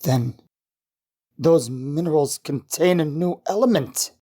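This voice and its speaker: A woman playing an affectionate, proud scientist husband in an old-time radio drama, tender, encouraging and gently teasing. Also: sits low, slow, breathy edge, heavily acted. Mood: encouraging, curious.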